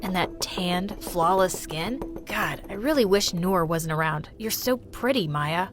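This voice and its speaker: A woman's voice: lowish voice, lively intonation, measured pace, over music, pitched below the performer's own voice. A woman voicing an eager, smitten teenage schoolboy. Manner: eager, earnest.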